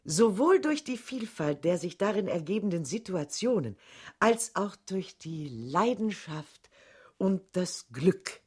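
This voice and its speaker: An actress playing a formal, solemn radio presenter who introduces a study in measured, scripted sentences. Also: female voice, on the low side, very animated, a little mellow, breathy edge, full character voice. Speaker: radio announcer. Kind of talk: scripted radio play announcement.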